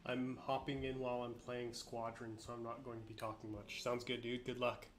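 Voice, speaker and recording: A man hosting a casual live stream while sick, a bit nasal with frequent throat clearing, greeting viewers by name in a relaxed way. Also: medium pitch, moderate pace, a little hiss or hum.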